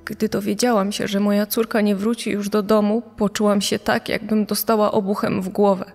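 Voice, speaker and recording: A woman's voice: medium pitch, level tone, normal speed, creaky, a little mellow. A bereaved mother, played by a woman, speaks in pain and bitterness in a true-crime audio story. Her voice rings in a long hall reverb, with music underneath. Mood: pained, pleading. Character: grieving mother of a murdered daughter, pained and bitter. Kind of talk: dramatized reading of a quoted statement.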